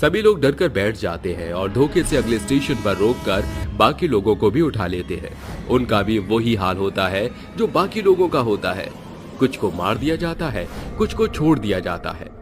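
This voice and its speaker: A man tells a scripted cartoon horror story. His voice sits fairly low but moves in lively, dramatic swings, with clear background music throughout. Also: moderate pace. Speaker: narrator.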